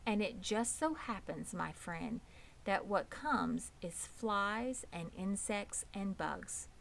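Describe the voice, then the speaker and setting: A woman's voice: medium pitch, expressive, takes it slowly, vocal fry, a little hiss or hum. A woman voicing a poised, pleasant and proud female spider in a children's storybook, composed, precise and matter-of-fact. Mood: proud, defensive. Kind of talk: children's storybook read aloud.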